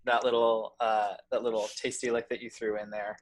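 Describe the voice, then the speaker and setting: A man judging a music challenge over a video call, speaking in halting, repeated phrases and full of praise. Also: lowish voice, little pitch movement, slight background noise. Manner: warm-hearted, halting, repeats himself. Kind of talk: casual video-call chat.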